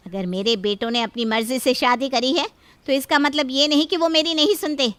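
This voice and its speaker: A woman's voice: sits high, fairly even intonation, a little fast, fairly bright tone. A woman playing a domineering, greedy middle-aged mother-in-law in a cartoon story, haughty and threatening as she scolds. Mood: defensive, indignant. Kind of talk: Hindi cartoon story acting.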